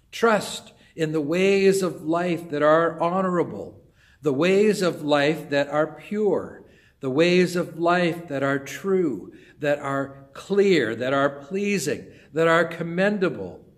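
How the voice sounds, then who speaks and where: Older pastor preaching real slow, goes soft and hushed a lot, church, close phone mic. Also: male voice, a little high. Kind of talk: sermon. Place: church sanctuary before an altar cross.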